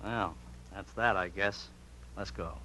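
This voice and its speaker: An actor playing a brisk, breezy male photographer in a 1950s radio drama. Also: low-pitched, fairly even intonation. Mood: casual. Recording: hissy 1950s radio recording.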